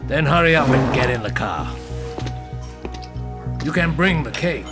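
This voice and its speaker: Actor as gruff detective, swinging pitch, over music. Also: male voice, animated, a little slow, lightly acted. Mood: terse, probing. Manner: blunt, terse, swearing. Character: gruff, unkempt senior male detective, jaded hard drinker. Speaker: senior detective. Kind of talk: audio drama acting. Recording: music behind the voice.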